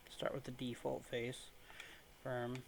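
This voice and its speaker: A man giving casual, low-key let's play commentary at home, keeping his volume down. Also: completely flat delivery, takes it slowly, gravelly, a little hiss or hum. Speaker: gaming video host. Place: room at home.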